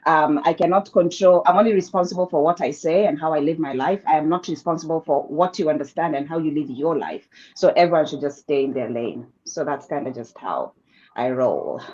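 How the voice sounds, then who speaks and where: A woman panelist speaking in a relaxed, self-assured way with frequent fillers, over a video call. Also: sits low, brisk. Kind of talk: casual panel discussion. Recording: dull lo-fi call audio.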